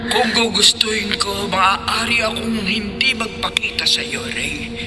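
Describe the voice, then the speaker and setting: A vengeful old woman's ghost, played by an actress in a radio horror drama. The voice is slow and menacing, accusing the living, with music underneath. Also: medium pitch, natural voice.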